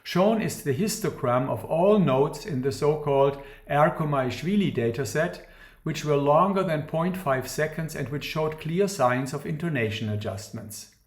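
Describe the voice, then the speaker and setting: Higher-voiced man lecturing in echoey room. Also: animated. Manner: formal, measured, precise. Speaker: academic researcher presenting a talk. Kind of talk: scripted academic lecture. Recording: roomy, echoing sound.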